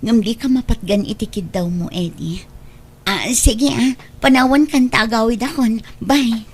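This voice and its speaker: A woman playing a married woman who brushes off her former boyfriend, sounding brisk and dismissive in an audio drama. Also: fairly high pitch, lightly acted.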